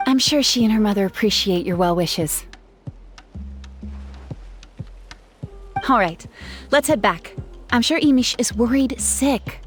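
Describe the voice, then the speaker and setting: A woman's voice: on the high side, expressive, moderate pace, lightly acted. A woman playing an earnest, kind young female gem artisan in a video game, speaking in a conversational storytelling way. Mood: grateful, friendly. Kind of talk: video game character acting. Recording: music playing behind.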